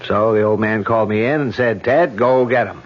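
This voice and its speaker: Actor as confident detective husband, muffled vintage radio. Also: male voice, lowish voice, normal speed, natural voice. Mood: businesslike, wry. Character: confident, affectionate police detective husband, wry. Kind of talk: 1970s radio drama acting. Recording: dull vintage radio broadcast.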